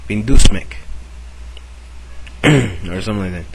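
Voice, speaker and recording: Man with a cold, raspy and low, halting casual chat to camera. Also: expressive, background noise. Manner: halting, full of fillers and restarts. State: sick with a cold, sore throat. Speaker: video maker.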